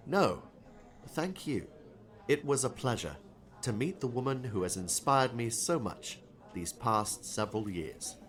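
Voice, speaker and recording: A man voicing a polite, courtly gentleman in an audiobook drama. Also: mid-range voice, expressive, unhurried, lightly acted. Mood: grateful, admiring.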